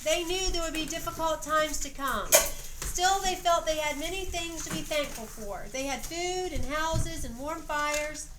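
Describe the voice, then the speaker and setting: A woman reads a story aloud simply and deliberately, as if to children, adding casual stage directions as she goes. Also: high-pitched. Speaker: narrator and director of a family pageant. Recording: echoey, noisy amateur home video.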